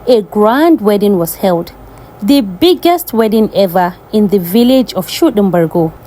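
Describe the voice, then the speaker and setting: A woman's voice: medium pitch, expressive, unhurried, warm tone. A woman storyteller reading a scripted folktale narration.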